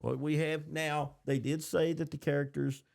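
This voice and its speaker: A man's voice: on the high side, little pitch movement, normal speed, vocal fry, a little mellow. An American man hosting a podcast, chatting casually about TV shows in reaction to them. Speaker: podcast host.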